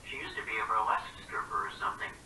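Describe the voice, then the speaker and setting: A man reading a first-person novel aloud in a conversational, expressive way. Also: rapid-fire. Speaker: reader. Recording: roomy, distant mic, faint hiss.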